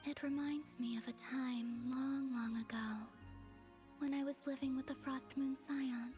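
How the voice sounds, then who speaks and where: A woman voicing a gentle, wistful young moon goddess in an anime game, soft and breathy, with hesitant, formal phrasing. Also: sits high, steady tone, measured pace, music in the background. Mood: wistful, hushed.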